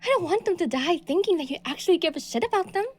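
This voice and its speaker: A woman voicing a delusional, possessive young woman stalker in an audio roleplay, moving between soft breathy whispers and shrill outbursts. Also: expressive, fast, dry recording. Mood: obsessive, menacing.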